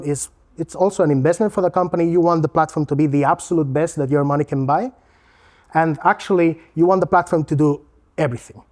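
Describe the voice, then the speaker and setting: A man's voice: on the high side, darkish tone. A man from Spain with a Spanish accent, giving a casual, joking presentation as a learning technology consultant. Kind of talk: conference presentation.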